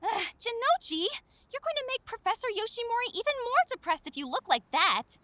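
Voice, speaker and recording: A woman's voice: very high-pitched, big pitch swings, fairly quick, crowd noise. A woman voicing a bossy, spirited teenage schoolgirl in an anime-style audio drama, teasing and scolding.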